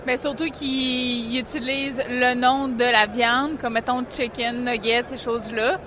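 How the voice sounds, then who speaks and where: A woman's voice: on the high side, fairly even intonation, a little slow, noisy background. A woman from Quebec with a Quebec French accent, answering interview questions casually about her feed company. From Quebec, Canada. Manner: casual, many fillers.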